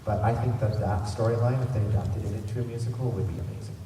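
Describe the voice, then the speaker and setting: A man's voice: lowish voice, fairly even intonation, fast talker, far from the mic. Man hosting in a very echoey, noisy hall. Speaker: panel host running a fan convention trivia session. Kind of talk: informal presentation to a live audience. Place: large indoor convention hall. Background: room ambience and noise.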